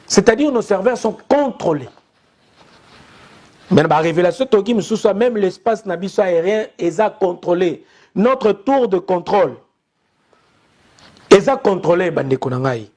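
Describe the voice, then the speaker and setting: A young man with a Congolese accent and a notably high-pitched voice delivers an impassioned commentary. He talks urgently, repeating his points and calling out to his listeners again and again. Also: animated, moderate pace, long pauses, darkish tone. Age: young adult. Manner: urgent, repetitive, appealing to his audience. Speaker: press commentator.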